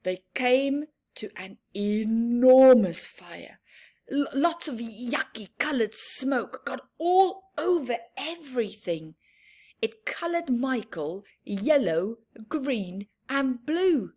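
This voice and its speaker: A woman reading a picture book aloud to young children, expressive and chatty, adding playful asides. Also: fairly high pitch, slow, husky. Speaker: children's storyteller.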